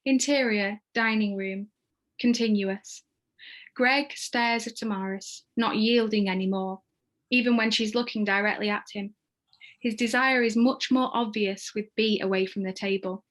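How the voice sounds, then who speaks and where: A woman reads screenplay stage directions as narrator in a measured, descriptive manner. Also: mid-range voice, steady tone, a bit bright.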